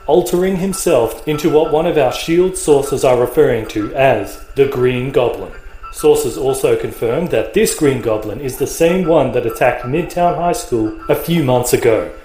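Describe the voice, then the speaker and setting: A man playing a serious news reporter, reading scripted narration in a measured, formal way for a comic-book drama. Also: mid-range voice, dark tone, lightly acted. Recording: roomy echo, faint music behind.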